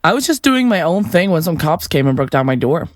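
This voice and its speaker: Blithe, lively-voiced killer podcaster. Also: female voice, lowish voice, a little fast, no echo, clean studio sound. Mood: feigned innocence. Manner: blithe, chatty, flippant, theatrical. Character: chirpy, self-absorbed female podcaster and remorseless serial killer. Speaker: suspect under interrogation. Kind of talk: audio drama acting.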